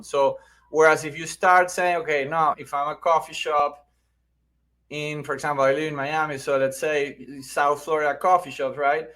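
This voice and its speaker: A man's voice: a little high, fairly bright tone. A middle-aged man with a Venezuelan accent, explaining things conversationally as an interview guest and often checking in with "right?". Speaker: podcast guest, marketing agency founder.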